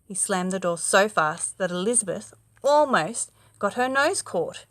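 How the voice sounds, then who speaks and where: A woman narrating a children's bedtime story in an expressive, dramatic way. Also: mid-range voice, a little slow, no echo.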